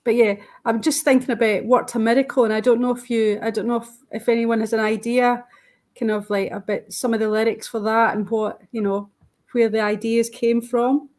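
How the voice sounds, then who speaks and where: A woman's voice: fairly high pitch, level tone, fairly quick, darkish tone. A woman musing hesitantly, with many fillers. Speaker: guest. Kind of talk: casual fan discussion.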